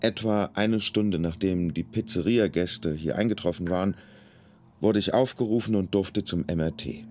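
A man's voice: low-pitched, normal speed, mellow, close to an everyday voice. A man playing an anxious, wry hospital patient, telling his story in a dry, musing first-person radio play narration. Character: anxious, wry, hungry male emergency-room patient.

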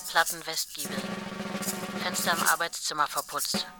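An actress playing a brisk farmhouse wife reading out her terse, matter-of-fact household diary in a radio play. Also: low-pitched, steady tone, close to an everyday voice. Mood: brisk, factual. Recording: music and drums behind voice. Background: percussive music.

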